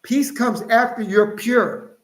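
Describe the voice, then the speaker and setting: A man's voice: extremely high pitch, steady tone, slow. Emphatic preaching man, roomy echo. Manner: emphatic, lecturing, jumps up into mocking quoted voices. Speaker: Hebrew Israelite teacher. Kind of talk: preaching monologue with scripture reading.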